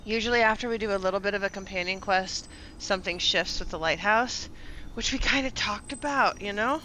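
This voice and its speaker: A woman's voice: mid-range voice, a little fast, sharp tone, a little hiss or hum, low-quality recording. A woman doing casual live game commentary, chattily thinking aloud and reacting as she plays. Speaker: video game streamer.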